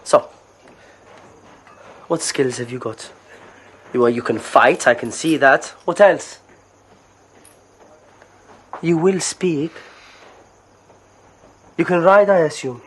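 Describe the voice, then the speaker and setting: An actor playing a callous slave merchant in an audio drama, coaxing and polite with menace underneath. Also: male voice, on the high side, takes it slowly, pauses often, breathy edge. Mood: genial, menacing. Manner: casual, coaxing politeness laced with threat.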